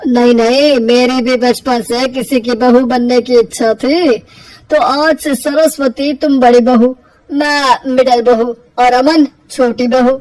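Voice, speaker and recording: Woman playing a wry, high-voiced older father-in-law. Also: steady tone, slow pace, a little mellow, dry recording, poor audio. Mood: playful.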